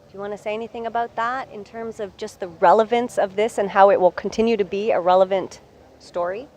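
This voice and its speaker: A woman's voice: medium pitch, fairly even intonation, brisk, darkish tone. A woman interviewing film producers in a chatty, enthusiastic way. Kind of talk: entertainment interview.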